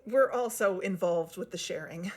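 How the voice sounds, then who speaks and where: An actress playing an earnest young investigative podcaster, explaining herself in an audio drama interview. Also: female voice, lowish voice, normal speed, darkish tone, breathy edge.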